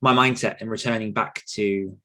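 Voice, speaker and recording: Low-pitched man, reflective interview guest. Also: steady tone, moderate pace, fairly bright tone. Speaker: podcast guest, author and writing coach. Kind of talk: conversational interview answers.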